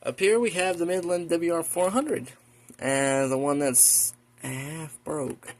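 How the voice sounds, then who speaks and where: American male hobbyist vlogger, casual and rambling, creaky voice, slightly noisy home recording. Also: fairly high pitch, fairly even intonation, slow pace. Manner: folksy, rambling, muttering asides to himself. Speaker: hobbyist video host. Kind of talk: casual show-and-tell vlog. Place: indoors in a room at home.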